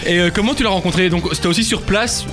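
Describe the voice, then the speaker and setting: High, fast-talking man radio host. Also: over music. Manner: lively announcing, asks questions. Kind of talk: radio hosting.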